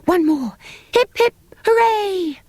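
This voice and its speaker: A woman's voice: very high voice, animated, takes it slowly, darkish tone, no room sound, exaggerated voice. A woman doing an eager bold young boy. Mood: excited. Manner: eager, commanding.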